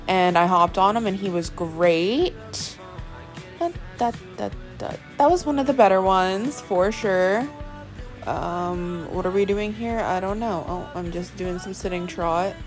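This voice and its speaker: A young woman in casual vlog talk, chatty and self-deprecating, narrating her riding over voiceover. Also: mid-range voice. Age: young adult. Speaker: equestrian vlogger. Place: indoor riding arena and barn, some outdoors.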